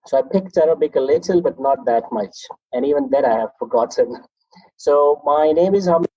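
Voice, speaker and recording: A man's voice: on the high side, fast talker, slightly rough, no echo, dull audio. A man from Pakistan with a Pakistani accent, an English teacher talking about his life as a language learner. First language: Pashto. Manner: animated, reflective, often laughs at himself. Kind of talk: conversational interview.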